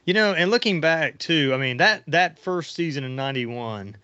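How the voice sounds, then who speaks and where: A man's voice: on the high side, animated, moderate pace, sharp tone, clean tone, no room sound. A middle-aged man hosting a casual sports chat podcast, talking in a rambling way that trails off and rephrases. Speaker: podcast host. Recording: dull lo-fi audio.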